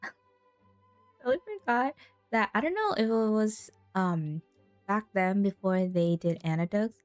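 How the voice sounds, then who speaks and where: A woman gives casual, chatty game commentary in a mid-pitched voice. She rambles along close to a dry mic, with game music clearly underneath. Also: expressive, unhurried, dry recording. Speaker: game streamer. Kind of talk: casual gameplay commentary, reading dialogue aloud.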